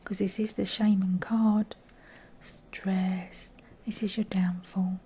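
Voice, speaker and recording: A woman with a croaky, breathy voice, often close to a whisper, giving an intimate, informal tarot reading to viewers with gentle, chatty asides and a slightly low-energy delivery. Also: level tone, takes it slowly, a little hiss or hum. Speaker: tarot card reader and channel host.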